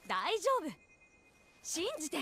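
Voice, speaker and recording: A woman's voice: high voice, sing-song, normal speed, a bit bright, a little hiss or hum, full character voice. A woman playing a cheerful, encouraging young village woman in a Japanese video game, guiding kindly.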